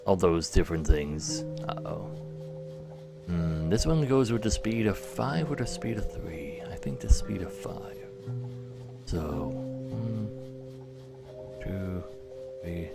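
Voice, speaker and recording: A man's voice: low-pitched, lively intonation, takes it slowly, vocal fry, pauses often, loud music behind the voice. A man livestreaming a game, mixing muttered commentary with dramatic readings of the game's text. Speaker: live streamer. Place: indoors, livestream with game music behind.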